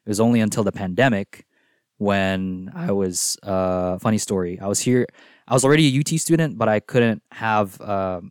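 A young man from Singapore, raised speaking Filipino first, talks at length as a podcast guest in an easygoing, chatty way. His voice sits on the low side. It is recorded close and dry, with no room sound. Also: dry recording. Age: young adult. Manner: relaxed, talkative, peppers speech with "like". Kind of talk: casual podcast conversation.

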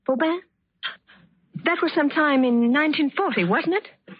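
A woman acting an anguished, secretive lover in a melodramatic 1940s-style radio drama, pleading and full of pauses. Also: high-pitched, dry recording, close to an everyday voice. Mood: guarded. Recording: dull old radio recording, music behind.

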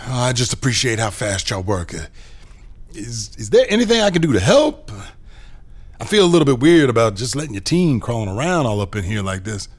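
A man's voice: medium pitch, lively intonation, brisk, close to an everyday voice. A man playing an uneasy, gullible office boss in an audio drama, talking informally and hesitantly.

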